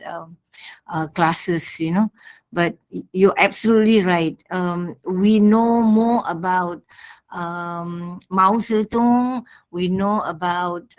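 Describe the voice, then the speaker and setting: A woman, speaking up in class in a halting way with many fillers. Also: fairly low pitch. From Singapore. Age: 60s. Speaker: student.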